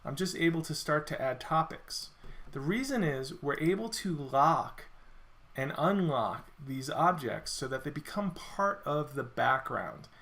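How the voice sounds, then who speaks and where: A man walking through a software feature in a screen-recorded demo, explaining step by step, his voice a little worn as he clears his throat. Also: a little high, measured pace. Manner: relaxed explanatory walkthrough with self-corrections. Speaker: software product presenter.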